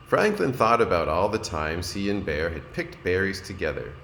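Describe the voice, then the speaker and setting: A man, a kindergarten teacher, reading a storybook aloud to young children in an expressive, deliberate way and addressing his listeners. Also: low-pitched, gravelly. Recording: roomy echo, noticeable background noise.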